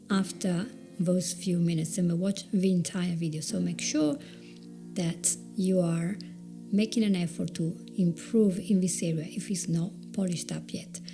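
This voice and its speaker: A middle-aged woman with an Italian accent, giving video presenting tips in an earnest, chatty teaching monologue, speaking slowly with emphatic stresses. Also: mid-range voice, mellow.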